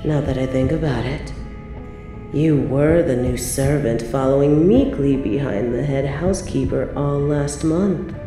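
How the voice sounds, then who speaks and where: A woman's voice: rumbling low, measured pace, roomy sound, over music. A woman playing an obsessive, possessive evil medieval queen in an audio roleplay, speaking in a theatrical, formal, savouring way with seductive menace. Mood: musing, suspicious. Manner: theatrical, formal, savouring, dropping into breathy whispers. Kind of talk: scripted dramatic audio roleplay monologue.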